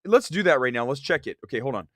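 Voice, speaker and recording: A man's voice: mid-range voice, big pitch swings, fast, a bit bright, no echo, clean studio sound. A man with a slight cold hosting a movie talk show, giving emphatic, conversational opinions and reading out viewer comments.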